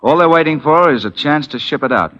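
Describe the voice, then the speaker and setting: A dashing army captain in a 1940s radio drama. His voice is bright and forceful, brisk, wry and confident. The recording is dull and lo-fi. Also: on the high side, animated. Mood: crisp, assured.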